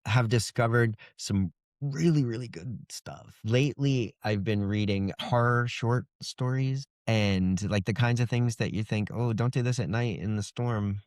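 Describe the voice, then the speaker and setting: A man's voice: mid-range voice, unhurried, few pauses, a little mellow, no room sound, clean studio sound. A middle-aged man speaking as an interview guest, telling things in a casual, reflective, conversational way. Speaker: fantasy author, interview guest.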